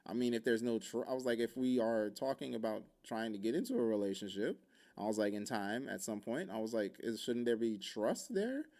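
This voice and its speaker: Gravelly man telling a lively story. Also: mid-range voice, brisk. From Hawaii, Oahu. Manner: animated, acts out quotes in shifting voices. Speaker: podcast host. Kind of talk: casual storytelling.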